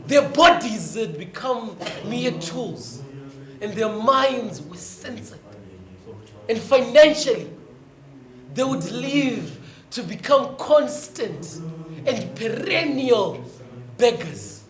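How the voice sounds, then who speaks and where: A woman playing a grave storyteller, declaiming a dramatic, deliberate lament as stage narration. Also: fairly high pitch, very animated. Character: grave female storyteller lamenting a suffering kingdom. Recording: echoey stage with crowd noise.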